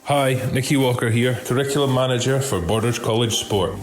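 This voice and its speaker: A man's voice: mid-range voice, brisk. A man presenting his own organisation in a scripted radio advert, recorded in a roomy, echoing space. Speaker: college sport curriculum manager. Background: music bed.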